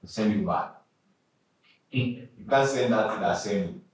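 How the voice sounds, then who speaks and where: A man teaching a classroom software tutorial, giving direct instructions and repeating short commands. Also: takes it slowly, far from the mic. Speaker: design instructor. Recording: echoey room with faint rumble.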